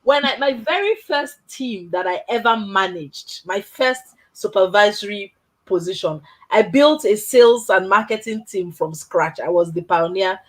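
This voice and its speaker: A woman hosting a casual career chat, telling stories in an animated way, laughing often and tagging her points with "right?". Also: medium pitch, unhurried. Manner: emphatic, tags points with "right?". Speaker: host.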